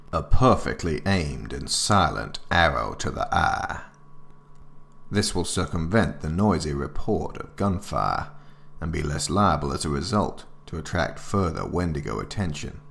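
A man's voice: a bass voice, vocal fry, a bit bright. A man narrating a military handbook in a formal, grave, instructional manner, as scripted drama.

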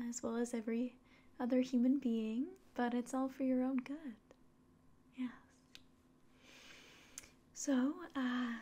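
A woman in her twenties doing an ASMR roleplay as a doctor, speaking softly and often whispering in a polite, measured way. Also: a little high. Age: 20s. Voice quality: soft, breathy, often whispering. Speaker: ASMR performer. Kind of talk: ASMR roleplay as an alien doctor giving an exam. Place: small quiet room indoors.

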